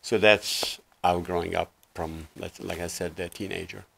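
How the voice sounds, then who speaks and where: A man in his sixties from Lebanon speaks in a very low voice with a gravelly edge. He tells his story earnestly and loosely, leaning on fillers, as a guest on a small TV studio set. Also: steady tone, moderate pace, a bit bright, a little hiss or hum. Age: 60s. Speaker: guest life coach. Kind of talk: casual interview talk.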